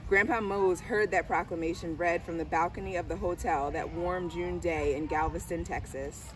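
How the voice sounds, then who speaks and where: A woman's voice: fairly low pitch, steady tone, normal speed. A middle-aged woman reading a picture book aloud in a storytelling style. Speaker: school diversity director reading aloud. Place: outdoors on a garden bench under trees. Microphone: camera a few steps away. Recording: distant camera mic, outdoor background noise.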